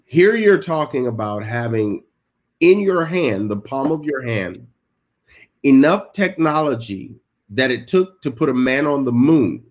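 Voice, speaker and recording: Slow, animated preacherly male host. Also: mid-range voice. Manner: emphatic, preacherly, frequent affirmations. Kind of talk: faith-based interview.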